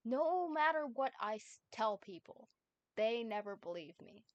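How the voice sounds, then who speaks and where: A woman streamer voicing a frightened, desperate teenage boy who is never believed, with pleading, rushed outbursts. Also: fairly high pitch, expressive, no room sound, muffled recording. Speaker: streamer reading a fanfic aloud. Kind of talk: dramatic fanfic reading.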